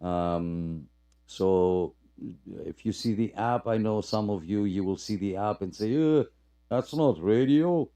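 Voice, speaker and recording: A man hosting a radio club live stream in casual, halting, unscripted chat, often repeating his phrases. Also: lowish voice, warm tone, clean tone.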